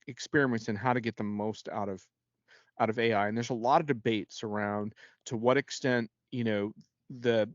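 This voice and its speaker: A man's voice: medium pitch, no room sound. Guy analyst chatting on a panel, corrects himself midsentence, dry muffled lo-fi sound. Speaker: guest technology analyst. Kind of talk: unscripted panel discussion.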